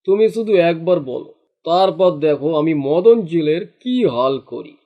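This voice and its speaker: A man's voice: on the high side, animated, moderate pace, lo-fi sound. A man acting a sly, boastful village henchman in a cartoon, goading and cocky as he schemes.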